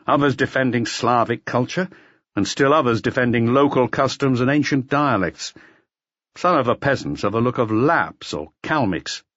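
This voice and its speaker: Man playing an intense, gruff police inspector, animated delivery. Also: medium pitch, dry recording, dull audio. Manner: emphatic, explanatory. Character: gruff, brooding middle-aged male police inspector, intense and worldly.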